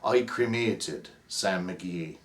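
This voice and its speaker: A man's voice: sits low, extremely slow. A man with an Irish accent reciting a ballad as the narrator Cap, in a rhythmic, theatrical delivery. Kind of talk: dramatic poem recitation.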